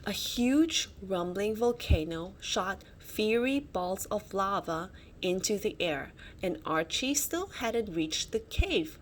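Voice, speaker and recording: A woman storyteller reads a children's picture book aloud. She takes her time and varies her pitch dramatically, adding small asides. Faint noise sits behind the voice. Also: slow. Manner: dramatic, expressive.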